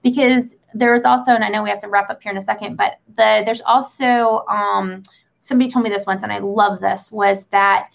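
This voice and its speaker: A woman hosting a talk show, chatting casually and motivationally in an animated, rambling, earnest way. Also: medium pitch, a little fast, gravelly.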